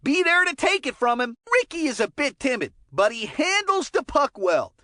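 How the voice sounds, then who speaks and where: Cartoon game role: young hockey commentator, bright forceful tone, dry close recording, folksy and eager. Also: high voice, sing-song, normal speed, dry recording, full character voice. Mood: upbeat, folksy. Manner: enthusiastic, folksy catchphrases. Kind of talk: scripted sports commentary.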